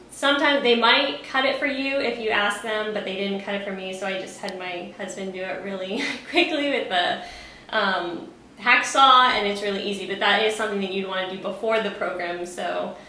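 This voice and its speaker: A bright-voiced woman instructor talks through a demonstration in an informal, explanatory way. Her voice rings in a very reverberant room, with faint noise behind it. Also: mid-range voice.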